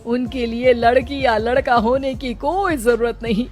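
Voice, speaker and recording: A woman's voice: fairly high pitch, a little slow, darkish tone, soft background music, lightly acted. A woman playing an anxious, affectionate older village mother-in-law in an audio moral story. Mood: proud. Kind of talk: Hindi moral story voice acting.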